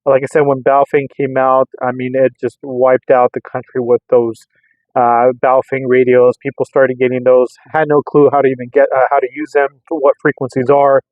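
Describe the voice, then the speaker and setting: A man casually rambling through a hobby product test in a hesitant, halting way, often repeating words. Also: medium pitch, monotone, no room sound. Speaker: radio hobbyist vlogger. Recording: narrow, phone-like, lo-fi sound.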